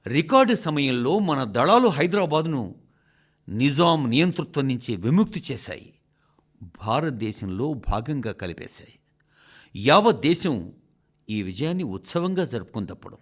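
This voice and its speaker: A man reads a scripted formal radio address as a translator's voice, in a measured, deliberate way, phrase by phrase. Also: fairly high pitch, sing-song.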